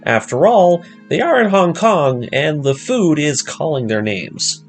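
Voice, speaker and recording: A man's voice: fairly high pitch, animated, a little slow. A man reading a scripted story recap as a narrator, with a dramatic storytelling delivery. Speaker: narrator of an anime story breakdown series. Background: music.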